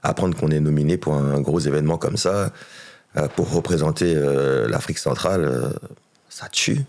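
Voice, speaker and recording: Deep-voiced middle-aged man, very low pitch, laid-back interview guest, relaxed answers, frequent fillers. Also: moderate pace. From France. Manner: laid-back, lots of fillers and agreeing "ouais". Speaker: musician guest.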